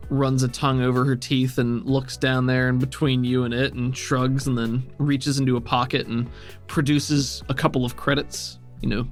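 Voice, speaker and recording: Male game master: mid-pitched, conversational improvised narration, faint music behind.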